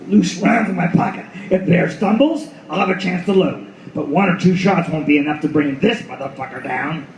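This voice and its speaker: An actor playing a hard-boiled last detective in an apocalyptic radio play, speaking in a terse, urgent, profane way. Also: male voice, on the high side, moderate pace, a little hiss or hum, lightly acted. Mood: tense. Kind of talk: noir radio play reading before an audience. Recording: echoey live room, distant mic, loud guitar behind.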